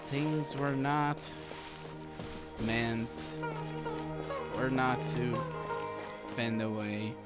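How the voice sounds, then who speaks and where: A woman giving casual commentary while playing a game, speaking in halting phrases that trail off. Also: very deep voice. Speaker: gamer narrating a playthrough. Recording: loud game music behind the commentary.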